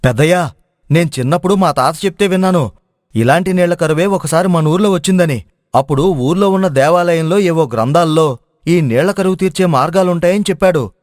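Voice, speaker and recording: A man voicing a knowing, cautious village guide in an animated moral story, speaking in an urgent, explanatory manner. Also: fairly high pitch, brisk, no echo, clean studio sound, lightly acted. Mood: explaining.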